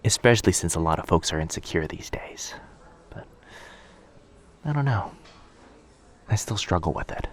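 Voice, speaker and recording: A man playing a shy, sweet, anxious young stranger in a boyfriend ASMR roleplay, speaking hesitantly, softly and earnestly. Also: deep voice, lively intonation, moderate pace, long pauses. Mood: self-doubting. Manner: hesitant, soft-spoken, earnest, self-doubting.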